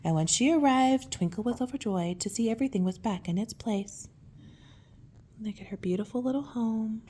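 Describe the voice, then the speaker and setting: A woman reading a picture book aloud to young children, with gentle, admiring, chatty asides. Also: fairly high pitch, moderate pace, slight background noise.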